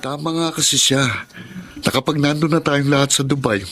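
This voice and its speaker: A man's voice: a little high, fairly even intonation, normal speed, fairly bright tone. A man playing an upbeat, reassuring young Filipino older brother in a radio drama, talking casually. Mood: hopeful.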